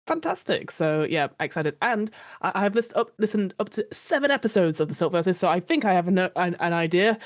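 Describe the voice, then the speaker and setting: A woman's voice: lowish voice, lively intonation, a little fast, hardly any pauses. A woman guesting on a roleplay podcast, chatting casually in an effusive way. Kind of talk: casual chat.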